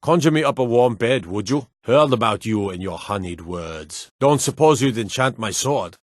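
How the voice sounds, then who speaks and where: An actor playing a gruff, suspicious city guard in a fantasy video game, speaking in a curt, wry, world-weary way. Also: male voice, medium pitch, lively intonation, moderate pace, no echo, studio quality, lightly acted. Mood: wry, friendly. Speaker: town guard. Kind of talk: video game character voice lines.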